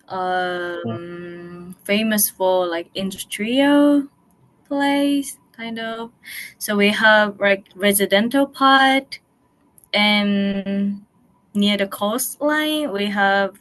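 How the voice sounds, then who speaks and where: A woman's voice: medium pitch, fairly bright tone. A young woman from Japan, interviewed on a video call, answering hesitantly and searching for her words. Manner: halting, hesitant, searching for words with many fillers.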